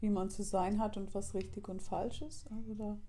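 A woman in her fifties, an artist speaking candidly and thoughtfully in a relaxed interview, recorded with a lapel mic in a cozy room. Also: medium pitch, normal speed, a little hiss or hum. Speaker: guest, artist and author. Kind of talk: reflective interview talk. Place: cafe-like room indoors.

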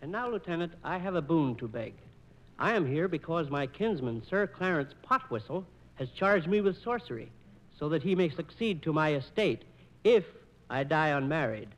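Gallant, wry officer, lightly high voice, very varied intonation, vintage radio style. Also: male voice, very animated, moderate pace, poor audio. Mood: brave, wry. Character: gallant condemned colonel, brave and wry. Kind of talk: 1940s radio operetta acting.

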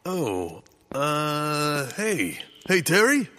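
A man's voice: on the high side, huge pitch swings, extremely slow, noisy background, full character voice. A man playing a dim, folksy pirate henchman with hesitant thug talk in a comic audio drama. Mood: deliberating. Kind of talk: audio comedy drama acting.

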